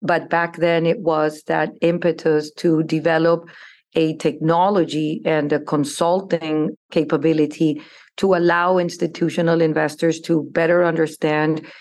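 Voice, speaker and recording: Low-voiced Colombian woman, measured and even. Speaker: investment firm CEO, guest. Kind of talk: interview answers.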